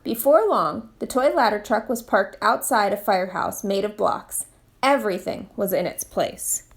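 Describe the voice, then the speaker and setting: A woman reading a children's picture book aloud in an expressive storytime style. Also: medium pitch, normal speed. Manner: expressive read-aloud delivery. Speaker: storytime reader, literacy program manager.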